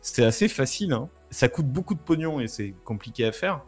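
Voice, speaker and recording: A man's voice: mid-range voice, lively intonation, fairly quick, husky, soft background music. A man explaining things informally in casual chat, using slangy wording.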